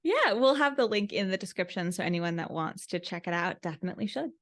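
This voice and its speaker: A woman's voice: mid-range voice, lively intonation, fast talker. A woman hosting an interview podcast in an upbeat way.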